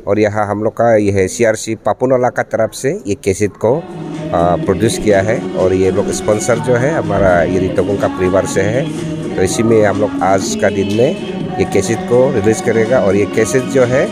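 A middle-aged man, the event organizer, speaking to news cameras in a church hall with halting pauses and fillers. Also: lowish voice, loud music behind the voice. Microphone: handheld news mics in front.

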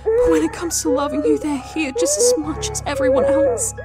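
A woman voicing a tender, anguished wife in a story reading, soothing her partner in a soft, trembling voice. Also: extremely high pitch, sing-song, moderate pace, over loud music, voice pushed up. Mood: tearful, pleading.

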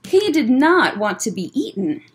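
Animated, high-voiced young American woman reading aloud. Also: slight background noise. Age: young adult. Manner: expressive, simple and deliberate phrasing for young children. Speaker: children's librarian hosting story time. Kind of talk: reading a picture book aloud to children, with short asides. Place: indoor library room lined with bookshelves. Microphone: webcam at desk distance.

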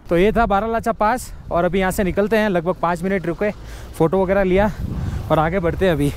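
A man's voice: high-pitched, fairly even intonation, fairly quick, slight background noise. A man in his thirties vlogging a mountain road trip from inside a car, casually pointing out the sights to his viewers. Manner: chatty, pointing things out to viewers. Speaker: travel vlogger.

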